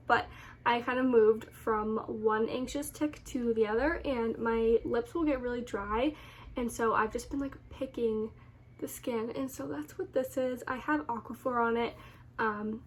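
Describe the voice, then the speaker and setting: An American woman in her early twenties chatting casually to viewers as a vlogger, rambling along with a slightly congested, nasal voice. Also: a little high. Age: early 20s. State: congested with sore throat. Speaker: lifestyle vlogger.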